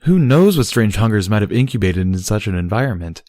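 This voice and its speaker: A man voicing a female immortal pony princess, a formal and dutiful younger sister who argues in measured, stilted diction in a storybook reading. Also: fairly low pitch, big pitch swings, darkish tone, dry recording, clean studio sound. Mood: grave.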